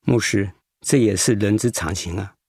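A man acting in a radio drama as a gentle, sympathetic doctor who explains and consoles in a measured way. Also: fairly low pitch, gravelly, slightly rough, no echo, studio quality. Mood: consoling. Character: gentle male doctor, calm, sympathetic hospital director.

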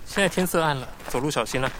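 A man acting as a calm, cautious guide in an audio drama, explaining things in a matter-of-fact way. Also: on the high side, quick pace, noisy background, natural voice. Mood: cautioning. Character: calm, cautious male guide to a hidden tribe's land. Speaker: voice actor.

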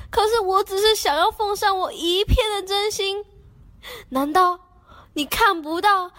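A woman playing a lovelorn young ghost princess in an amateur radio drama, halting and plaintive, with a Taiwanese Mandarin accent. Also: very high voice, a bit bright, exaggerated voice. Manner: halting, plaintive, repeats words. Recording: background music under the voice.

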